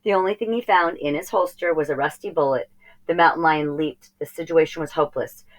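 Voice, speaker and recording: A woman with a low-pitched voice narrates a children's storybook. She reads aloud expressively, telling the tale for young listeners. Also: fairly quick, rough recording. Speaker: storybook reader.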